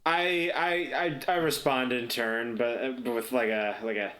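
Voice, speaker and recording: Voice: medium pitch, steady tone, measured pace, fairly bright tone. A player talking casually and hesitantly while improvising in a tabletop roleplay game.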